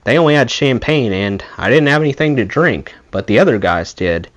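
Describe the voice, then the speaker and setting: A man reads a memoir aloud as an audiobook narrator. His pitch moves up and down in a lively way, giving the storytelling an expressive, animated feel. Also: brisk. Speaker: audiobook reader, channel host.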